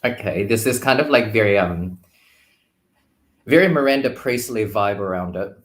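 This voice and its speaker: A man with a deep voice reflects casually on tarot as a guest reader. He thinks aloud, pausing and picking his way through his ideas. The room around him gives a noticeable echo. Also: expressive. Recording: roomy, echoing sound.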